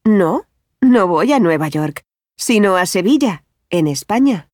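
An actress playing a friendly adult woman who works at a bank, speaking clearly and without hurry in language-course dialogues. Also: medium pitch, expressive, measured pace, a little mellow, dry recording, clean studio sound. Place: dry studio.

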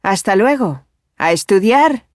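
An actress playing a friendly adult woman who works at a bank, speaking clearly and without hurry in language-course dialogues. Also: medium pitch, animated, slow pace, no echo, clean studio sound. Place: dry studio.

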